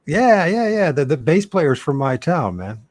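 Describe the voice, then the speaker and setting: Brief: slightly high, husky man, animated jokey co-host, dry close sound. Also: a little slow, no echo. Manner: jokey, laughs often, animated. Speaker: podcast co-host. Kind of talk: casual live-stream chat.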